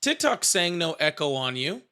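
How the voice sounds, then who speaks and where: Bright lively guy in his 30s running a call-in stream, blunt and jokey. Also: on the high side. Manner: blunt, joking, quick to challenge callers. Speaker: live stream host. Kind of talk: casual live call-in chat.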